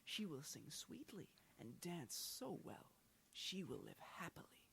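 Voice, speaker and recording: A man voicing kindly good fairies in a children's storybook, speaking gently and soothingly over loud guitar music. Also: a little high, sing-song, unhurried, gravelly, whispered, full character voice. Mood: gentle. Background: loud plucked guitar music.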